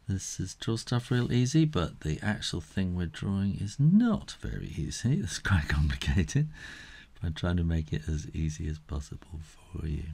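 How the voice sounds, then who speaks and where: A middle-aged man giving a casual step-by-step drawing tutorial, unhurried and thinking aloud as he works. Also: deep voice, lively intonation, vocal fry, darkish tone, breathy edge.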